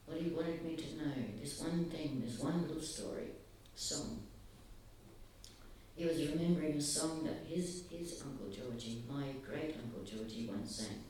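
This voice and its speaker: A soft-spoken man giving an earnest, halting public talk, often pausing. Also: on the high side, slight background noise. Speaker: speaker at a gathering. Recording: very echoey, distant, faint ambience.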